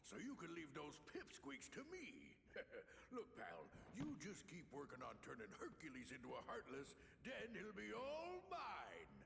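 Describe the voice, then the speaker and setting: An actor playing a brash, scheming bully villain with a gravelly voice in a cartoonish game. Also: male voice, on the high side, wildly swooping pitch, unhurried, a bit bright, a little hiss or hum, exaggerated voice. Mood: smug, scheming. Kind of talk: video game voice acting. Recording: reverberant game audio with faint music.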